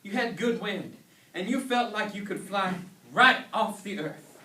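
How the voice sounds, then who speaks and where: High, bright male reader in echoey hall. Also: lively intonation, unhurried. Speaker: student performer reading a dramatic sermon. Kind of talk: dramatic staged reading, storytelling and preaching. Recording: echoey hall, recorded from far off.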